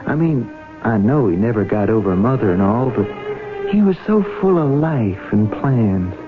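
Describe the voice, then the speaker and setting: A man's voice: fairly low pitch, very animated, normal speed. Earnest young farm man on old radio. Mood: wistful. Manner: earnest, reflective. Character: earnest young farm heir, haunted by his father's death. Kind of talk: 1970s radio drama acting. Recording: thin phone-like old radio broadcast, music.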